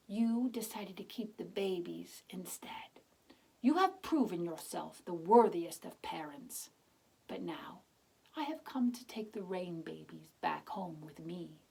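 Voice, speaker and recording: Storybook moon spirit, played by a woman, female, gentle, stately, slow, solemn, reassuring. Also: mid-range voice, gravelly, breathy edge, exaggerated voice, low-quality recording.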